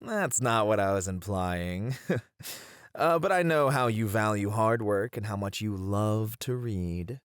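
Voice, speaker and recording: Lively theatrical teasing male academic, acted out. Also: on the low side, measured pace, clear, resonant tone, no echo, clean studio sound. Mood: teasing, amused. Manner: teasing, dramatic, flowery. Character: playful, theatrical male colleague who loves teasing. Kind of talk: ASMR roleplay acting.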